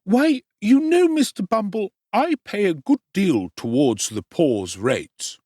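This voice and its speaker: A man voicing a fawning, sly middle-aged undertaker in a dramatic audiobook, with a high, drawn-out wheedling way of agreeing. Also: very animated, a little mellow, no echo, studio quality, exaggerated voice.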